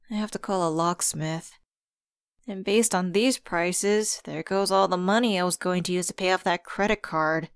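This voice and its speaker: A woman acting a slow-paced, flustered, friendly young lady. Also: mid-range voice, dry recording, clean studio sound, natural voice. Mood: frustrated, resigned. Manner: hesitant, chatty, expressive with sighs and giggles. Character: flustered, friendly woman locked out of her car at Christmas. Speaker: voice actor in audio roleplay. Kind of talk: ASMR roleplay acting, one-sided conversation.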